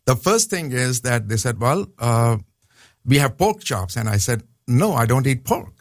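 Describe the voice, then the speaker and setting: A man's voice: medium pitch, sing-song, unhurried, dry recording, clean studio sound. Pakistani man explaining earnestly. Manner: earnest, explanatory, repeats points for emphasis. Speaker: seminary professor guest. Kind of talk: radio interview. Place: radio studio.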